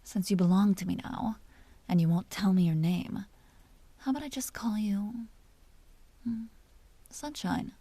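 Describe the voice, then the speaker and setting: A mellow, husky vampire duchess, voiced by a woman murmurs close and dry, often slipping into a breathy whisper. She is imperious and possessive, teasing with a tender streak, in the manner of an intimate audio drama. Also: medium pitch, unhurried, pauses often, no room sound, lightly acted. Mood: possessive, playful. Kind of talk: intimate scripted audio roleplay.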